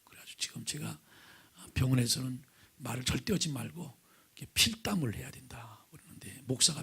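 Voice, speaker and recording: Man, pastor, hoarse, gravelly fry, breathy half-whispers, earnest sermon, questions to the pews. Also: mid-range voice, fairly bright tone. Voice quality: raspy, gravelly. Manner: earnest, often drops to a breathy near-whisper, asks the congregation questions. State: hoarse from vocal nodules. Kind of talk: sermon with opening prayer. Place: church sanctuary, at the pulpit.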